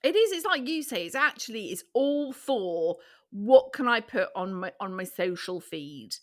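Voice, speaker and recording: A woman in her late forties co-hosting a casual chat podcast, talking in a reflective, halting way. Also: medium pitch, big pitch swings. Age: 40s. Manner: reflective, halting, restarts sentences. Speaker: podcast co-host.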